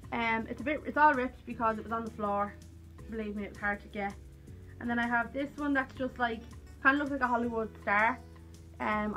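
A woman's voice: a little high, bright, forceful tone. A young woman with an Irish accent chatting casually to camera in a rambling, self-deprecating way. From Ireland. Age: young adult. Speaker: vlogger. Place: small cluttered room at home, indoors.

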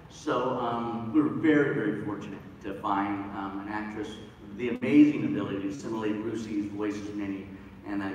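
A man's voice: fairly low pitch, dull audio. A man hosting a stage panel, introducing and questioning guests in an informal, stop-start way. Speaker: panel host. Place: large convention hall stage, audience recording from afar. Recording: very echoey distant hall, crowd noise.

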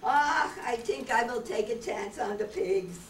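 A woman's voice: a little high, measured pace, natural voice. A woman playing a skeptical, grumbling castaway with stilted, quaint phrasing in a staged reading of an old radio adventure. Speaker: amateur actor in a live radio-play reading. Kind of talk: 1930s children's radio adventure acting, read from script. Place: meeting room stage before seated audience. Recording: roomy, distant camera mic, faint noise.

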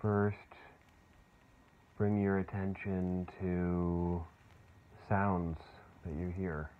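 A man leading a guided meditation in a soothing, unhurried way, leaving long pauses. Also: sits low, little pitch movement. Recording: muffled phone-like sound with clear background noise.

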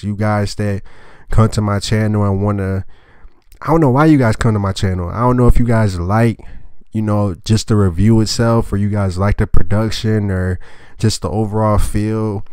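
A man's voice: fairly low pitch, darkish tone, clean tone, dry recording, studio quality. A young man with a congested, nasal voice from a cold, ranting casually and profanely to his audience. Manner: blunt, profane, rambling with filler words. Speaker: anime review channel host. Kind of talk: casual vulgar rant to camera.

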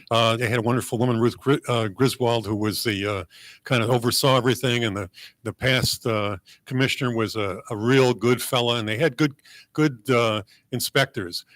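A man speaking as a mayoral candidate at a public forum, in a halting, folksy way with repeated words. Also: medium pitch, a bit bright, no room sound.

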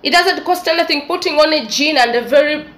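A woman's voice: high voice, brisk, fairly bright tone. A young adult woman with an East African English accent, giving an exasperated, preachy opinion commentary. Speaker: vlogger. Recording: echoey room with faint noise.

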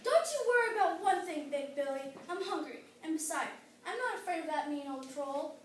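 Lady as skinny little billy goat, super high young voice, far from mic in echoey room. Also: lively intonation, moderate pace, noisy background. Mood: carefree, hungry. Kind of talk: children's fairy-tale play.

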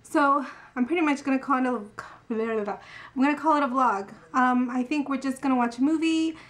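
A woman's voice: sits high, moderate pace. A young woman in her twenties chatting casually to a handheld camera as a beauty vlogger, giggly and full of "like". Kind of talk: casual vlog chat. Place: car, home and stores. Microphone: handheld camera at arm's length.

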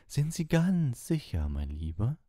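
A man voicing a dreamy, airy older female divination teacher in an audiobook, with a gentle, cooing, coaxing manner. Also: medium pitch, wildly swooping pitch, unhurried, no room sound, clean studio sound, voice pushed up. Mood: eager, probing.

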